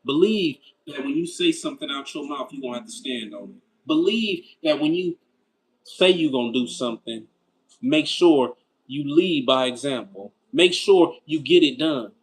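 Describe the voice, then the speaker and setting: A man's voice: fairly high pitch, expressive, normal speed, low-quality recording. A man in his thirties hosting a casual livestream. He reads out viewer comments and answers them in a loose, slangy way, asking himself rhetorical why-questions. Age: 30s. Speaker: livestream host.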